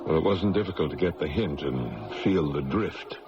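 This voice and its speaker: An actor playing a formal, stern middle-aged judge who narrates a trial in an old radio drama, firm and measured. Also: male voice, a bass voice, animated, music in the background. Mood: reflective. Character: stern male judge recounting a trial, secretly moved. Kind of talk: 1970s radio drama acting. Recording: muffled old radio broadcast.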